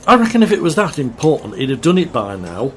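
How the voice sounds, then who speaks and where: A man's voice: a little high, big pitch swings, fast, a little hiss or hum. A man acting a stressed, irritable local radio presenter in an audio drama, defensive and hesitant. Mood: casual, uneasy.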